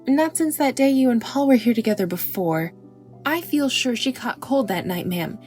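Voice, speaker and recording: A woman's voice: on the high side, expressive, normal speed, a little mellow, faint music behind, close to an everyday voice. A woman voicing an anxious, devoted young maidservant girl in an audiobook, with an earnest, deferential, folksy rural way of talking. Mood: worried, confiding. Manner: rustic folksy dialect, deferential, breathlessly earnest. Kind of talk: audiobook character acting.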